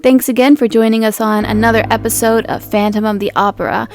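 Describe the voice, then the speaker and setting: A woman's voice: on the high side, fairly even intonation, a little fast, darkish tone, soft background music, natural voice. A woman playing a slangy, dramatic cryptid podcast host, narrating a scripted recap. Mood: chatty, dramatic. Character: chatty storyteller cryptid podcast host named Gaston Leroux, boyish, theatrical.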